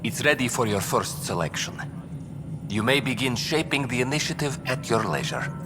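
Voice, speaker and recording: Actor as composed, expressive official in a vast hall. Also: male voice, mid-range voice, close to an everyday voice. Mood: measured, explanatory. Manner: measured, explanatory, bureaucratic. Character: composed male colonization program official. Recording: long hall reverb, music behind.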